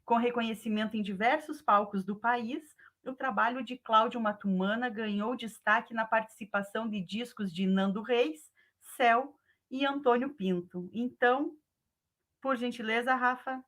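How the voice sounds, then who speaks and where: A woman's voice: on the high side, steady tone, normal speed, a bit bright. A woman with a Brazilian accent presenting a live online event as its host, in a formal style.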